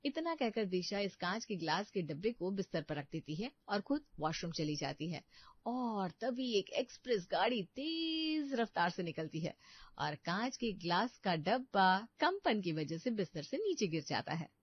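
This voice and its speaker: A woman's voice: medium pitch, big pitch swings, moderate pace, breathy edge, muffled recording. A woman narrating a scripted story as its storyteller.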